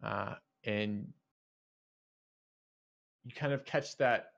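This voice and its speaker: A man narrating as game master of a tabletop game, halting and thinking aloud. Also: fairly low pitch, creaky, a bit bright, lo-fi sound.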